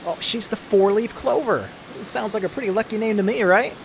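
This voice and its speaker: A man playing a friendly, excitable starship mechanic in an audio drama. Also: high-pitched, a little fast, gravelly, background noise. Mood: casual, helpful.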